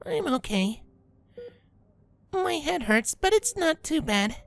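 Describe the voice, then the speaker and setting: A man voicing a small, vulnerable young female filly with a halting, childlike manner in a storybook reading. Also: extremely high pitch, very animated, gravelly, fairly bright tone, pitched above the performer's own voice, exaggerated voice. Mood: subdued, hopeful. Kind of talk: dramatised storybook fan fiction reading.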